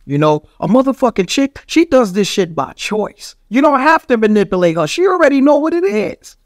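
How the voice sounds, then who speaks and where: A middle-aged man with a New York accent speaks in a high-pitched voice. He tells stories emphatically, peppered with slang, swearing and little check-in tags. The sound is dry and close, as in a padded podcast studio. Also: very animated, moderate pace, dry recording. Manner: emphatic, street slang, heavy swearing, frequent "you know" tags. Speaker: podcast guest. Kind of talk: casual storytelling in a podcast interview. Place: small studio with foam-padded wall. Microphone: studio microphone on boom arm.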